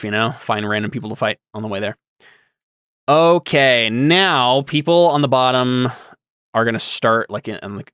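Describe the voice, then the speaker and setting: A man's voice: on the low side, fairly bright tone, no echo, studio quality. A young man running a tabletop role-playing game as game master, talking casually and thinking aloud as he reads rules text.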